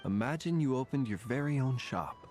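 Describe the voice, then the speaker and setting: A man voicing a refined, patient gentleman in a game, with formal, polite and measured phrasing. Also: mid-range voice, lively intonation, close to an everyday voice. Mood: courteous. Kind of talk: animated game dialogue. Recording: music playing behind.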